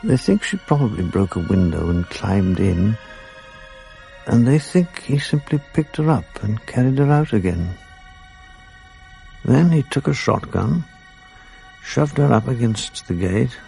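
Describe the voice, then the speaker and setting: An actor playing a brooding narrator in a 1980s radio drama, speaking slowly and gravely. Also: male voice, lowish voice, darkish tone, exaggerated voice. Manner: slow, grave, reflective. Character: brooding male narrator, haunted by the dead.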